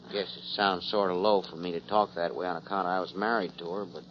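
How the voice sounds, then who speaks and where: An actor playing a pushy, possessive rodeo cowboy in a 1940s radio drama. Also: male voice, sits low, fairly even intonation, quick pace, lightly acted. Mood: coaxing. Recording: muffled, noisy 1940s radio recording.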